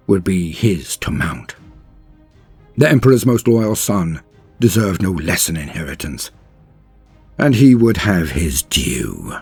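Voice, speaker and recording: Deep, husky male lore narrator over music. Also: a little mellow, no echo. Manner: solemn, grandiose storytelling delivery. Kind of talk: dramatic scripted lore narration. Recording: music under the voice. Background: background music.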